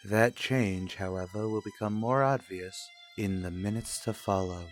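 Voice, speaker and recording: A man's voice: on the low side, measured pace. A man narrating as an old-time radio soap announcer, reading scripted lines in a formal, portentous way. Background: music.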